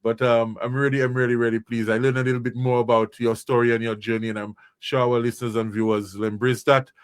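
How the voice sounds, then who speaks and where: A man's voice: mid-range voice, flat delivery, fast talker, smooth. A man hosting a casual interview, speaking in an encouraging, affirming way.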